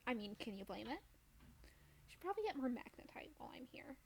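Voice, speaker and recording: A woman's voice: fairly high pitch, big pitch swings, fast, a little mellow. A woman chatting casually while playing a video game on a stream, playful and dryly whimsical. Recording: clear background noise.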